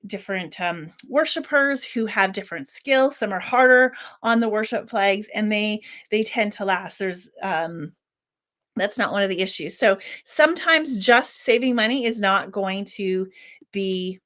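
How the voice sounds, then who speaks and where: A woman hosting her own channel, giving a casual unboxing review. She thinks aloud, often restarting sentences, and her voice is a little squeaky today. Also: bright, forceful tone. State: slightly squeaky voice. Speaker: worship flag maker and channel host.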